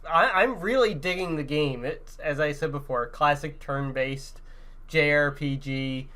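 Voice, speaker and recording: A man's voice: fairly high pitch, measured pace, bright, forceful tone. A man co-hosting a gaming podcast in casual, now and then profane chat.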